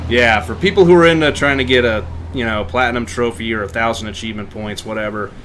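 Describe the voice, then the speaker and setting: A man's voice: mid-range voice, fast, a bit bright, a little hiss or hum. A man giving casual, explanatory commentary on a game livestream.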